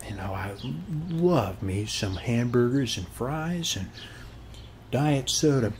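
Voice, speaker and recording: Slow, rambling friendly neighbor played by a man. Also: medium pitch, lively intonation, darkish tone, slight background noise. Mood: chatty, friendly. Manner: rambling, hesitant, folksy, often adding "okay?". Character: friendly, folksy, rambling middle-aged suburban neighbor man. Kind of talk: ASMR roleplay, soft-spoken one-sided chat.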